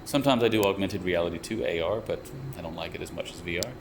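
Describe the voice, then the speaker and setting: A young man working as a VR researcher and developer, explaining his views in a measured, thoughtful way with frequent restarts. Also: deep voice. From United States. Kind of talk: explanatory interview talk. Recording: steady air conditioner hum behind. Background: air conditioning hum.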